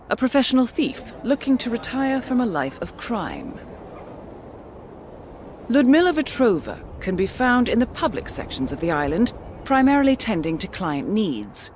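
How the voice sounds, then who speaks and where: An actress playing a formal, precise female handler who delivers measured mission briefings in a video game. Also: medium pitch, darkish tone, a little hiss or hum, lightly acted. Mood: composed, formal. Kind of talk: scripted mission briefing narration.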